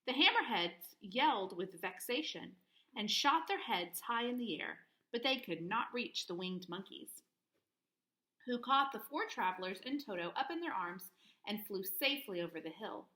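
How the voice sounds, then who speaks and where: A woman reading a children's story aloud in an expressive, clearly phrased teacher's manner. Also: medium pitch, moderate pace.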